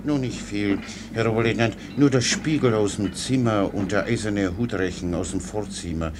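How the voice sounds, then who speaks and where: An actor playing a guileless, chatty, foolish soldier orderly in a German radio play, rambling deferentially. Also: male voice, fairly low pitch, normal speed, close to an everyday voice. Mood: innocently explaining. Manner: rambling, naively unruffled, deferential. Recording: clear background noise.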